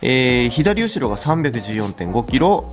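A man's voice: mid-range voice, sing-song, unhurried. A man in his thirties, a car channel host, explaining things casually and hands-on to camera in a garage. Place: garage workshop, indoors. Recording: music behind the voice. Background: background music.

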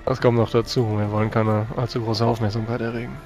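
A man's voice: fairly low pitch, flat delivery, quick pace, darkish tone, faint music behind. Man role-playing in formal phrasing. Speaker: tabletop role-playing player. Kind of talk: improvised in-character dialogue in a tabletop game.

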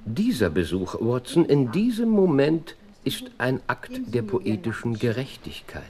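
An actor playing a sharp, brilliant, self-assured detective in a German radio play, speaking precisely and theatrically. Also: male voice, medium pitch, big pitch swings, measured pace.

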